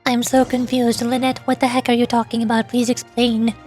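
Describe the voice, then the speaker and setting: A woman's voice: a little high, flat delivery, fairly quick, a little mellow, soft background music. A woman streamer chatting casually and exasperatedly as she plays a game. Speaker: streamer playing and voicing game characters. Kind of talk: casual live-stream commentary.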